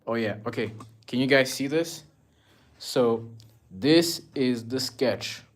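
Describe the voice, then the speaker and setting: A young man from Rwanda chatting casually on a phone livestream at home, showing his art and answering viewer comments in a relaxed, rambling way. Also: medium pitch, slow pace. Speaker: artist livestreaming. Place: indoors at home among his paintings. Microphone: phone camera, moving around.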